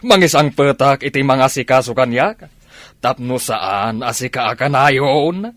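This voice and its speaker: A man's voice: mid-range voice, animated, a little slow, fairly bright tone, dry recording, clean studio sound. A man with an Ilocano accent playing an irritable, evasive philandering husband in a radio drama. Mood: irritated.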